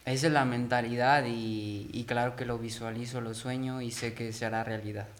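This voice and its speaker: A man's voice: mid-range voice, fairly even intonation, moderate pace, slight background noise. A man in his twenties, a guest on a talk show, chatting casually and joking easily. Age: 20s. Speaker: guest bullfighter. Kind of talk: casual chat.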